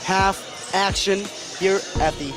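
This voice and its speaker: Guy calling a hoops game live, kinda high voice, music clearly behind him. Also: fairly even intonation, slow. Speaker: play-by-play commentator. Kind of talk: live basketball radio commentary.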